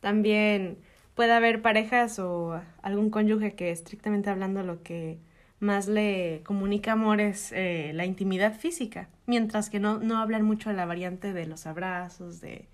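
Mexican lady co-hosting, teacherly, lively, laughs a lot. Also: mid-range voice. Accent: Mexican Spanish. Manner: animated, teacherly, laughs often. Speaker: podcast co-host. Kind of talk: casual explanatory chat.

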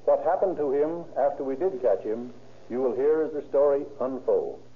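A man giving a measured, formal scripted address as an official, on an old 1930s radio recording. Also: mid-range voice, narrow, tinny audio. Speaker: state narcotics enforcement chief, guest speaker.

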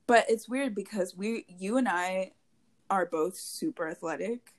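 A woman's voice: medium pitch, unhurried. Giggly young woman, rambling casually. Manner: giggly, rambling with many fillers. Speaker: podcast co-host. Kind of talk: casual chat. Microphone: handheld mic held to mouth.

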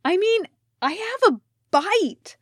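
A woman voicing an easygoing, affectionate, excitable man in a story, with expressive delivery. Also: extremely high pitch, slow, dry recording, studio quality, pitched above the performer's own voice, exaggerated voice. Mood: yelping. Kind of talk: storybook character voice.

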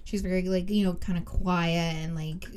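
A woman's voice: lowish voice, steady tone, normal speed, a little mellow, clean tone. A young woman, guest on her sister's podcast, talking in a chatty, rambling way with lots of fillers. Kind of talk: casual chat.

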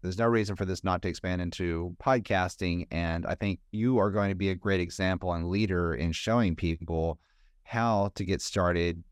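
A man's voice: low-pitched, fairly even intonation, normal speed, no echo, clean studio sound. An American man hosting a conversational interview, speaking in an earnest, encouraging and thoughtful way. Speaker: podcast host interviewing a guest.

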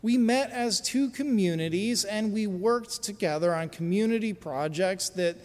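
High-voiced pastor in a reverberant sanctuary. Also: male voice, a little mellow. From United States. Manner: conversational storytelling with frequent filler pauses. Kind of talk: sermon with personal story.

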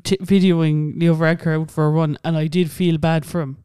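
A chatty Irish woman in her late twenties, talking casually on a podcast and filling her sentences with "like" and the odd swear word. Her voice is smooth and clear, recorded dry and close with no room sound. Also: low-pitched, level tone, brisk, dark tone, dry recording. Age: late 20s. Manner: chatty, swearing, says "like" a lot. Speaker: podcast co-host. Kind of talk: casual chat.

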